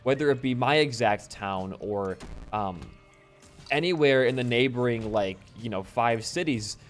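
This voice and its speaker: A laid-back young man from Wisconsin, a bit hoarse after losing his voice, rambling casually while commenting on his gameplay. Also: medium pitch, a little slow. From Wisconsin, USA. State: slightly hoarse from voice loss. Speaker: gaming channel host. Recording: faint game music behind.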